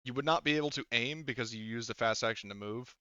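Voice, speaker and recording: Crisp, bright-voiced man refereeing a game. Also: mid-range voice, fast talker, no room sound. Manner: casually profane. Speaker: game master. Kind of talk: casual tabletop game refereeing. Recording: dull, lo-fi close dry voice track.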